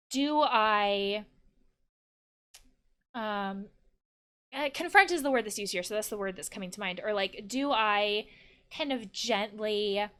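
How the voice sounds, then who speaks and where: Lively-voiced woman doing game commentary. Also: on the high side. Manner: reflective, thinks aloud about therapy ethics. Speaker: therapist playing and commenting on a video game. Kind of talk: casual let's play commentary.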